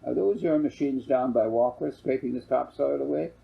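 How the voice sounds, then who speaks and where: A man voicing a sharp-witted, principled farm mother with a dry, pointed manner in a storybook. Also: mid-range voice, moderate pace, creaky, husky, lo-fi sound, voice pushed up. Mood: skeptical.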